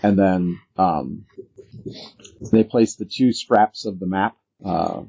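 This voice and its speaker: A man's voice: sits low, slow pace, vocal fry, warm tone, husky, lo-fi sound. A man working as game master, narrating a tabletop role-playing game in an explanatory, instructive way.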